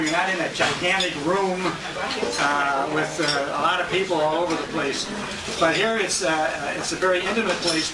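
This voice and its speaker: A man speaking informally as emcee to banquet guests, jovial and folksy, with some hesitation, recorded from a distance on a handheld camera in a restaurant. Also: high-pitched. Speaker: emcee and radio host. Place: restaurant banquet room, indoors. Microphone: handheld compact camera at a distance. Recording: noisy echoey dining room, distant handheld camera mic. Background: loud diners, clattering dishes and cutlery.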